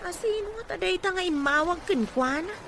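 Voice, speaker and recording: High-voiced mild caregiver. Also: female voice, lively intonation, brisk. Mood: worried. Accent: Ilocano. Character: mild, respectful female caregiver. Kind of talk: Filipino radio drama acting. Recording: noisy background ambience.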